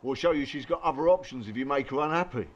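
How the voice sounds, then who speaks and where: A man's voice: medium pitch, animated, quick pace, highs cut off, close to an everyday voice. An actor playing a blunt, streetwise male friend in a radio play, sardonic and challenging in colloquial speech.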